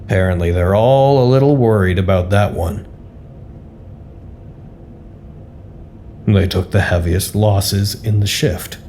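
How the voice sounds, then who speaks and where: A male actor playing an amiable, nervously upbeat staffer who narrates his report in a wry, expressive, conversational way for an audio drama. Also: sits low, moderate pace, slight background noise, lightly acted. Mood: wry, amused. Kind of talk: scripted audio drama monologue, personal report.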